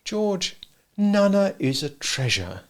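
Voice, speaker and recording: Guy voicing a soft placid mom, gentle and fond, bit gravelly. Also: sits high, sing-song, slow, voice pushed up, exaggerated voice. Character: calm, gentle mother, placid and affectionate.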